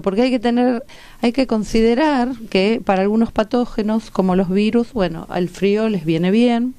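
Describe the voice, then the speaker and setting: Clear-voiced Argentine woman explaining health matters. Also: medium pitch, warm tone, no room sound. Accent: Argentine Rioplatense. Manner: didactic, checks in with rhetorical tags. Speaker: guest doctor and medical researcher. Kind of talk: radio interview, explaining health advice conversationally.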